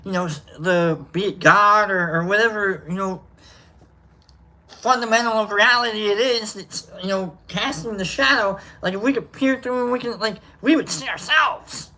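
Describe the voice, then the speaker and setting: Rambling livestream host, gravelly. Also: medium pitch, normal speed, poor audio. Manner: rambling, filler-heavy, affectionate shout-outs. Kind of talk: casual livestream chat.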